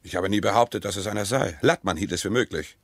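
Low-voiced brooding husband. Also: male voice, husky. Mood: dry, sobering. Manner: hushed, deliberate dramatic delivery. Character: brooding, estranged husband in an underground mystery. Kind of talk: German radio play acting.

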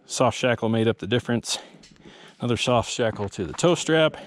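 A man casually narrating as he works on a vehicle recovery outdoors, matter-of-fact and relaxed. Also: medium pitch, brisk. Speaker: volunteer vehicle recovery driver. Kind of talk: casual first-person narration while working. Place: outdoors on a forest trail. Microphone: head-mounted action camera. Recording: outdoor camera mic with noticeable background noise.

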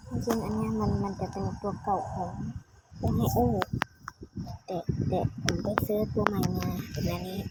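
A man's voice: high-pitched, steady tone, moderate pace, heavy background noise. A male gaming host chatting casually to viewers, walking them through car tuning numbers step by step. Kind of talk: casual gameplay commentary to viewers.

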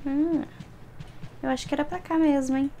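A woman's voice: high-pitched, fairly even intonation, moderate pace, dark tone, slight background noise. A young Brazilian woman giving casual, chatty commentary while playing a game, talking to herself and to the game. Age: young adult. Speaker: gaming streamer. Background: video game ambience.

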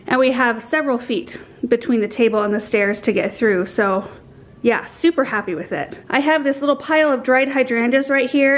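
A woman in her thirties chatting to her handheld camera at home, enthusiastically explaining her garden cuttings and arrangements as she works. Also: a little high. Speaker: gardening vlogger. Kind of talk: casual chat to camera. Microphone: handheld camera at arm's length.

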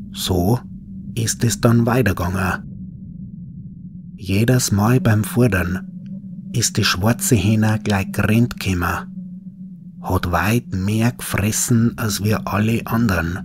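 Bavarian guy telling a creepy story in dialect, low voice, noisy ambience behind him. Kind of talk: scripted first-person horror story narration in dialect. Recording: clearly noisy ambient background.

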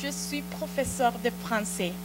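Middle-aged Mexican woman as a patient TV French teacher, slightly high voice, studio hum behind. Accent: Mexican Spanish. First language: Spanish. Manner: patient, repeats phrases slowly and encouragingly. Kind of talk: slow drilled language lesson. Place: small TV studio set. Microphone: handheld mic. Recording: studio recording with audible mains hum. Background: mains hum.

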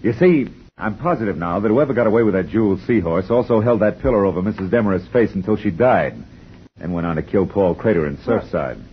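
An actor playing a wry, hard-boiled private detective who narrates tersely in a 1950s radio drama, from a dull lo-fi broadcast. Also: male voice, lowish voice, animated, fast talker, a little hiss or hum, lightly acted.

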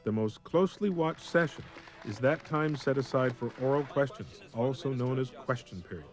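Measured documentary narrator, man. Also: mid-range voice, expressive, soft background music. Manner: measured, explanatory.

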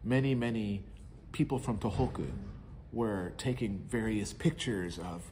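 A middle-aged man sits in a large indoor lounge and answers questions in a reflective, earnest way. His voice sits a little low. A faint room hiss runs under the recording. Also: unhurried, warm tone. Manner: earnest, reflective, gestures while talking. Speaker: interviewee. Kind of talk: casual interview answers.

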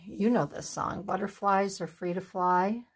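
Slow, breathy woman in her sixties. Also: mid-range voice. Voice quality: breathy, sniffly. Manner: chatty, laughing asides, talking to herself. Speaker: craft channel host. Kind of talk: casual chat while making a collage. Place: home craft table, indoors. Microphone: overhead camera on the desk, hands only in frame.

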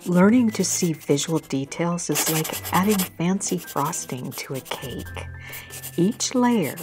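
Calm, measured female narrator with a low voice, over loud background music. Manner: calm, measured, reflective reading. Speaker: artist narrating her drawing film. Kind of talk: scripted documentary narration.

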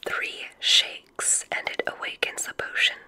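A woman playing a gentle witch examiner in an ASMR roleplay, whispering in a soothing, deliberate, mysterious way. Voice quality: breathy, unvoiced whisper.

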